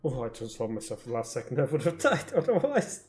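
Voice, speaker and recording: A man's voice: mid-range voice, wildly swooping pitch, a little fast, a little mellow, faint music behind. A man giving casual live game commentary, musing aloud in a dry, nitpicking way. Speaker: game streamer.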